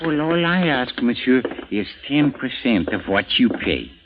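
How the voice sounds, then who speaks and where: A fawning French hustler. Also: male voice, medium pitch, sing-song, noisy background. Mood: conspiratorial. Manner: obsequious, halting broken English. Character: sly little Parisian street hustler, fawning. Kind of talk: 1950s radio drama acting. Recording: dull 1950s radio broadcast with music.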